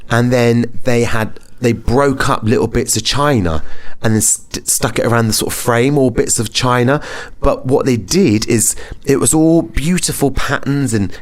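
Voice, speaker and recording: A British man hosts a casual wellness podcast. His voice sits at a medium pitch. He talks in an informal, chatty way, rambling from one thought to the next. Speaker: podcast host.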